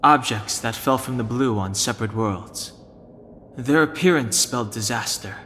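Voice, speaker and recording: An actor playing a serious, matter-of-fact young traveler in a video game, terse and deadpan. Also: male voice, medium pitch, moderate pace, a little hiss or hum, lightly acted. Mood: serious, explanatory. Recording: hall reverb, faint music behind.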